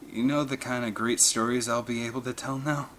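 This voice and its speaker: Man doing a hoarse, plucky wounded young man. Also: mid-range voice, steady tone, fairly quick, a bit bright, slight background noise, close to an everyday voice. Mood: weak, cheerful.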